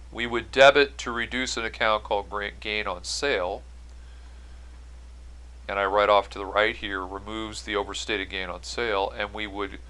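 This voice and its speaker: A man's voice: fairly low pitch, sharp tone, rough recording. A man giving an explanatory accounting-style lecture as an instructor, going step by step and stressing key phrases. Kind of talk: explanatory lecture over on-screen notes.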